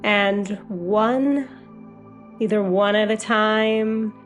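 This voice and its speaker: Woman, guided meditation, very slow pace, soothing and gentle, music playing behind. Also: medium pitch. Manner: soothing, gentle, unhurried phrasing. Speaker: hypnotherapist guiding meditation.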